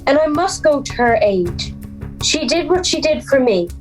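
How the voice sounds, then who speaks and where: A young woman playing an anxious, defiant schoolgirl in an audio drama, speaking in a halting, self-questioning way. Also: high-pitched, sing-song, soft background music, rough recording. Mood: resolute. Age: teenage or young adult. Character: anxious defiant schoolgirl, Little Red, hiding in woods. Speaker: youth theatre actor. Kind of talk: audio drama monologue and dialogue.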